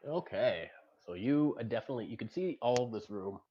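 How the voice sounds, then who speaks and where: A man running a tabletop game as game master, improvising narration in a halting, explanatory way. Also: mid-range voice, animated, highs cut off, a little hiss or hum.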